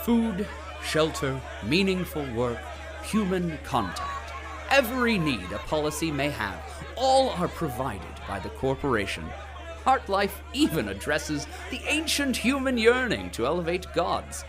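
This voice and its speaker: A man's voice: on the high side, huge pitch swings, a little slow, music in the background, crowd noise. A man acting as a grandiose corporate propaganda narrator in an audio drama, with a grand, unctuous dramatic delivery. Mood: smug.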